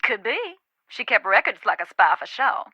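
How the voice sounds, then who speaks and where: Casting: female Southern spirit medium in a mystery game, haunted storyteller, emphatic, crisp-edged bright tone, close dry sound slightly dulled. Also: mid-range voice, animated, normal speed, dry recording, lo-fi sound, exaggerated voice. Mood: frank, ominous. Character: haunted Southern woman, a warm, wary ghost-hunting spirit medium. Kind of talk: dramatic video game dialogue.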